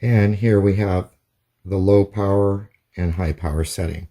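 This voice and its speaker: Low, mellow-voiced man demonstrating a product. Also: fairly even intonation, unhurried. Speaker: product reviewer. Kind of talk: casual hands-on product demonstration.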